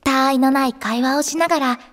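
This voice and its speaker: A woman playing a cheerful, earnest teenage girl idol who narrates an anime audio drama in a sweet, expressive way. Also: high voice, brisk, in a large hall. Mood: fond, playful. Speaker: narrator and lead character. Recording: music behind the voice.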